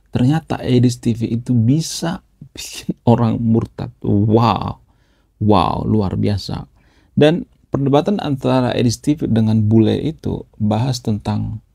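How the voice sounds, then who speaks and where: Low gravelly man, chatty commentary. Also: warm tone, no room sound, studio quality. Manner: chatty, adds "ya" after nearly every phrase. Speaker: YouTube channel host. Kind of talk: casual commentary.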